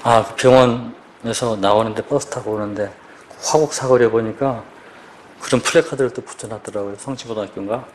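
A man in his fifties, a police officer, giving a quiet, halting personal testimony in church and asking for prayer. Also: mid-range voice, fairly even intonation, slight background noise, poor audio. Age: 50s. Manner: quiet, halting, searching for words.

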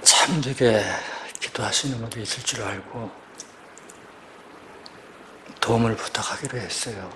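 A man in his fifties, a police officer, giving a quiet, halting personal testimony in church and asking for prayer. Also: mid-range voice, background noise. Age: 50s. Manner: quiet, halting, searching for words.

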